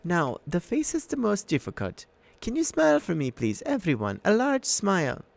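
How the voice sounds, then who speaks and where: Menacing old monster lady, soft purring coax, raised pitch, a male narrator puts her on. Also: huge pitch swings, normal speed, vocal fry, no echo, full character voice. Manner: purring, coaxing. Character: sweet-voiced old female monster, menacing. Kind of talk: audiobook character voice.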